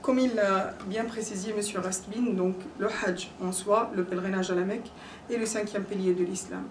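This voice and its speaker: A woman's voice: mid-range voice, steady tone. A young woman giving a formal conference talk in French, which is not her first language, speaking hesitantly with frequent fillers and restarts. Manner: hesitant, many pauses and fillers. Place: conference hall. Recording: echoey hall, distant mic, background noise.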